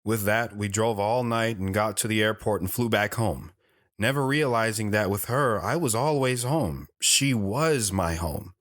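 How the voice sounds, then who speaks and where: Man playing a low-voiced, earnest veteran storyteller. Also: measured pace, fairly bright tone, no room sound, studio quality. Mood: tender, reflective. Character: earnest, sombre retired military man telling his life story. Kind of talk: audio drama narration and dialogue.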